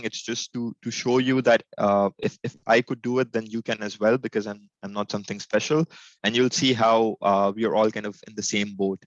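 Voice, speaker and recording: A man's voice: medium pitch, fairly bright tone, dry recording, lo-fi sound. A young man from Pakistan giving an informal, humble webinar presentation over a video call, with lots of fillers and restarts. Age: young adult.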